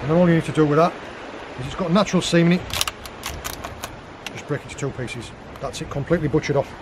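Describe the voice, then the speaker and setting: A man's voice: a little high, fast talker, noisy background. A man in his thirties on a rocky beach, explaining step by step how he prepares seafood while working with his hands. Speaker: guest forager demonstrating cooking. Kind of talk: casual outdoor how-to explanation.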